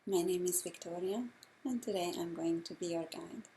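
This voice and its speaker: A middle-aged woman from Hungary with a Hungarian accent, a breathwork instructor telling her story in a gentle, measured way. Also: on the low side, a little hiss or hum. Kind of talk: slow guided talk.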